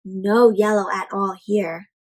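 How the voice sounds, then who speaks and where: A woman reading a picture book aloud to young children, expressive and full of exclamations and questions to her listeners. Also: medium pitch, takes it slowly, poor audio. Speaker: children's read-aloud storyteller.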